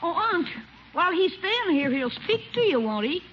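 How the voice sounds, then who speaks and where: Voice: very high voice, big pitch swings, moderate pace, a bit bright, full character voice. An actor playing a cheeky, wisecracking young boy nephew, brash and slangy, in a 1950s radio comedy. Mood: teasing. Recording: muffled, very noisy 1950s radio recording.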